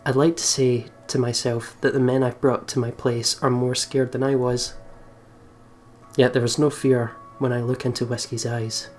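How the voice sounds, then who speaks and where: A man's voice: medium pitch, darkish tone. A man hosting a let's play, casually commenting and reading story text aloud. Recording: game music behind the voice. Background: visual novel game music.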